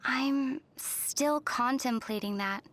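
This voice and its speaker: A woman voicing a gentle, thoughtful young traveler heroine in an anime game, measured and pensive, with a dryly teasing streak. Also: high-pitched. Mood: reflective. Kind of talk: English-language anime game voice acting.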